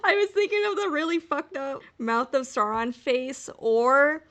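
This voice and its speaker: A woman's voice: high-pitched, animated, measured pace, a bit bright. A woman hosting a podcast, chatting casually and informally as she speculates in a fan discussion. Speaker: podcast host.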